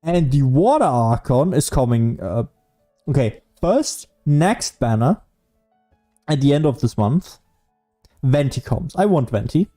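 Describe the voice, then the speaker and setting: A male gaming streamer talks casually over his gameplay. His voice sits at a middling pitch with a soft, mellow edge. He rambles and thinks aloud, with faint music playing behind him. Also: expressive, unhurried. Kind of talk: casual solo gameplay commentary.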